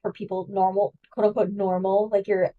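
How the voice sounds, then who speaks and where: A woman's voice: medium pitch, little pitch movement, phone-quality sound. Woman, podcast guest host, eager and chatty, distant mic. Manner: enthusiastic, chatty, quick asides. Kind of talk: casual podcast chat.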